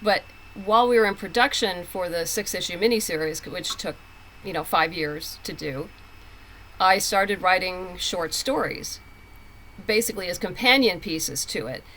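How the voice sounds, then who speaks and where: A woman's voice: lowish voice, normal speed, fairly bright tone, a little hiss or hum, poor audio. A woman talking conversationally as a writer being interviewed, telling stories and acting out quotes as she goes. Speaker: comic book writer and guest.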